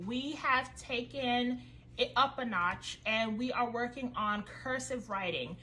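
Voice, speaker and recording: Upbeat homeschool mom vlogger, raised in Haiti with French as her first language. Friendly, high and bright. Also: a little hiss or hum, poor audio. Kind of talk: casual curriculum review vlog.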